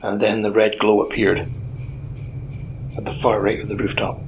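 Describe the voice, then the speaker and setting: A man playing a gruff, weary old detective with a deadpan, sardonic manner, narrating a noir audio drama. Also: fairly low pitch, unhurried, a little hiss or hum, natural voice, rough recording. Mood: brooding.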